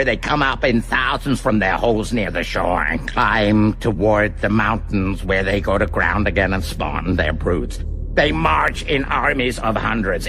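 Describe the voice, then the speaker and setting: An actor playing a cold, sadistic megalomaniac mastermind in a radio drama, formal, deliberate, menacing and theatrical. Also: male voice, fairly low pitch, bright, forceful tone, slight background noise.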